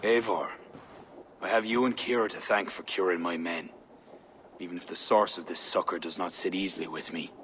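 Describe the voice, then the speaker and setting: An actor playing a proud, guarded king who speaks in a formal, grave, deliberate way. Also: deep voice, steady tone, a bit bright, slight background noise.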